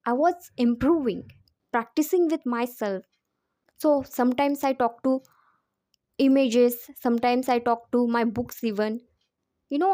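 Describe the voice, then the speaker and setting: High voiced lady teaching english, not her first language, mellow tone, very dry close sound. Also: no echo. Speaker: English teacher giving advice. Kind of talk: informal spoken lesson drawing on personal experience.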